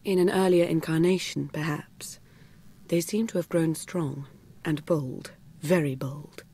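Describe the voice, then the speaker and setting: An actress playing a cool, composed female intelligence operative in a video game, speaking in a measured, formal, understated way. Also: low-pitched, steady tone, mellow, breathy edge, exaggerated voice. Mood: grave, measured. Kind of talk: scripted video game cutscene acting.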